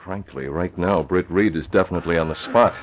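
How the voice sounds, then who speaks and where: Male actor as low-voiced vigilante newsman, decisive and measured, vintage radio serial sound, muffled with light noise. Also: natural voice. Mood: grave, guarded. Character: resolute crime-fighting newspaper publisher, a grown man. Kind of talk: 1940s radio drama acting. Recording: muffled, noisy old radio recording.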